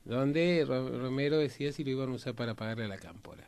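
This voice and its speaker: A man with an Argentine accent giving conversational political and historical commentary, halting and repeating words for emphasis. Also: mid-range voice, a little mellow, smooth, no room sound.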